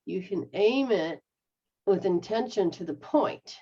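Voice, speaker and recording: A woman's voice: mid-range voice, expressive, slow, warm tone, husky, muffled recording. A middle-aged woman, a metaphysical practitioner, explaining healing techniques in a rambling, casual way and checking that she is understood. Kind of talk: casual instructional chat.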